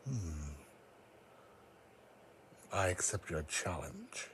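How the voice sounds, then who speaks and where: Man playing weary psychic creature for fan audio drama: low-pitched, grave, formal, noisy home recording. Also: very animated, drawn-out words, fairly bright tone, heavily acted. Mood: grave, wary. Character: solemn, weary, psychic cat-like creature, proud and wary.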